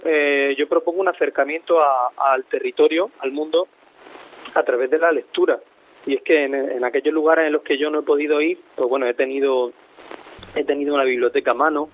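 A man with a mixed southern Spanish accent, between Murcian and Andalusian, talking as a guest in a radio interview in a reflective, halting way over a phone. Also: mid-range voice. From Lorca, Murcia, southeastern Spain. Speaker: travel writer, interview guest. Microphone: telephone. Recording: tinny narrow phone line.

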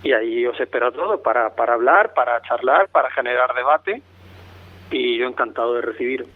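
A man on a thin, tinny telephone line speaks in a mixed Murcian and Andalusian accent. He talks as an interview guest, reflective and halting, repeating words as he builds his sentences. His pitch sits in the middle. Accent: mixed southern Spanish, between Murcian and Andalusian. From Lorca, Murcia, southeastern Spain. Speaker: travel writer, interview guest. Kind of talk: conversational radio interview. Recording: tinny narrow phone line.

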